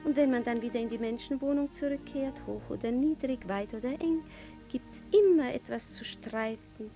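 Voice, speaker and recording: An actress as a gracious young noblewoman in a radio play, with a high voice. She speaks in a refined, formal way and gives orders with ease. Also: normal speed, dark tone, faint music behind, close to an everyday voice. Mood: serene, reflective. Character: young princess, gracious, eager, used to giving orders. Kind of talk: literary radio play acting.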